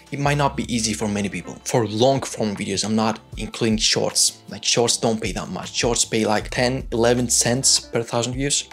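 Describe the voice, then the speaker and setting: A man with a Turkish accent, a content creator explaining things in a loose, chatty way with lots of filler words. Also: mid-range voice. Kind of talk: casual talking-head explainer.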